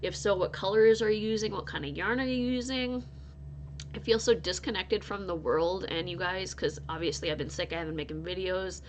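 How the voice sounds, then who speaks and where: A woman's voice: on the low side, fairly quick, a little hiss or hum. Chatty sniffly woman vlogging from home. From North Dakota. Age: adult, married. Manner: rambling, chatty, with frequent restarts and laughs. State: stuffy and recovering from illness. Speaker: crochet vlogger. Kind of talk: casual chat to viewers.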